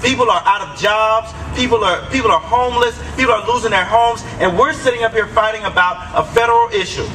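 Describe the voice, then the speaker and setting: A man's voice: high-pitched, a little fast, hardly any pauses. A man speaking as a state senator at a protest rally, rousing the crowd and calling out to it. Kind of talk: rally speech. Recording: distant mic with loud outdoor noise.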